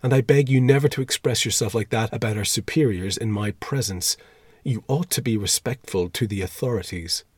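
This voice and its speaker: A man's voice: on the low side, brisk, husky, no room sound. A man reading a storybook as a fussy, timid, easily shocked older schoolmaster with a formal, pleading, moralising manner. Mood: offended.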